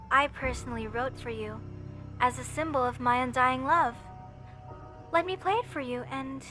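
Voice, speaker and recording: High-pitched, slow, lovesick unhinged mortician. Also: female voice, pushed and bright, full character voice. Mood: eager, adoring. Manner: sugary, theatrical, formal wording, slow deliberate delivery. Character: obsessive unhinged young woman mortician, sweetly menacing, lovesick kidnapper. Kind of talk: scripted horror roleplay monologue to listener.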